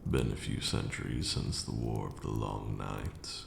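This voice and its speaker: An abyssally deep, heavily creaking voice of an ancient male vampire lord, acted by a man, unspools a slow ASMR monologue. He is brooding and grandiose, cruel yet weary, with measured, hushed words echoing in a roomy space. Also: flat delivery, heavily acted. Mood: calm, disdainful. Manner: measured, grandiose, archaic phrasing. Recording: roomy echo, audible background noise.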